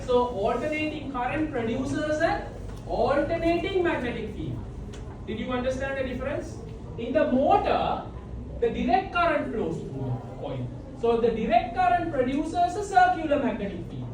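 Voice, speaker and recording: A woman teaching a class as a lecturer, explaining step by step with repetition, recorded from the back of a large classroom. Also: high voice. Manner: repetitive step-by-step explaining, often checking understanding. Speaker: physics teacher. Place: large tiled indoor classroom full of students. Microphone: far camera at back of room. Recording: distant, very echoey classroom with noisy room ambience.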